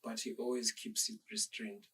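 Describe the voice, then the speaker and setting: Measured, polite young man from Zambia. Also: mid-range voice, sing-song, pushed and bright, husky, recorded from a distance. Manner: measured, reflective, polite with "sir". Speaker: dancer guest. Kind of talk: interview conversation.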